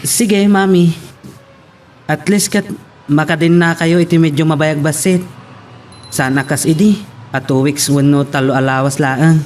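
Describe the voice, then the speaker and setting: A man's voice: fairly high pitch, measured pace, long pauses, darkish tone, a little hiss or hum, natural voice. A man playing a pleading son talking to his mother in an amateur Ilocano drama. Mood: coaxing. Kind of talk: Ilocano radio-style family drama acting.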